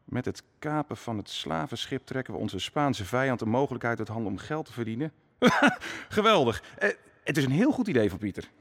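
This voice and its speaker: An actor playing a young, confident prince and army commander, assertive and dismissive, in a radio drama. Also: male voice, mid-range voice, very animated, brisk. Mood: proud, enthusiastic. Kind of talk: Dutch radio drama acting.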